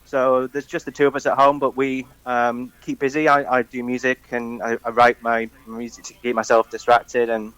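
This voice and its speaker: A man from northwest England, a musician guest on a phone-in radio interview, talking hesitantly and softly with many ums. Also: mid-range voice, little pitch movement, normal speed, hardly any pauses, smooth, dry recording, rough recording.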